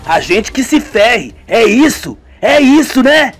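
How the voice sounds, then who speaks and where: A man's voice: very high voice, very animated, takes it slowly, fairly bright tone, soft background music, pitched above the performer's own voice, exaggerated voice. A man voicing an enraged young male tourist who speaks with indignant sarcasm.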